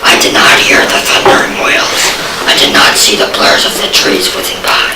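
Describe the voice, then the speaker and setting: A male student performer reading a dramatic scripted monologue aloud. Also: moderate pace. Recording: very noisy echoey room, distant mic.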